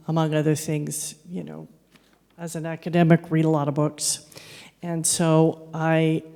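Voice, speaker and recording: A woman's voice: sits low, a little mellow. A woman academic speaking informally at a meeting, hesitant and searching for words as she goes. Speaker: academic and journal editor at a panel session. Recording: echoey meeting hall with long reverb.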